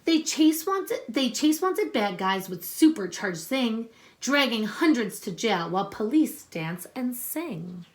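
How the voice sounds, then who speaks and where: A woman teacher reading a picture book aloud to children, expressive and instructive, pausing to explain and ask questions. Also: fairly high pitch. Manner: deliberate, instructive, addressing young listeners with questions.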